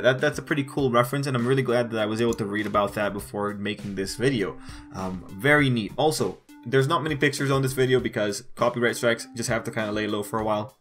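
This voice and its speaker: A man's voice: medium pitch, a bit bright. A man giving a casual manga review as a voice-over, emphatic and repeating phrases to drive points home. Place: voice-over over still images.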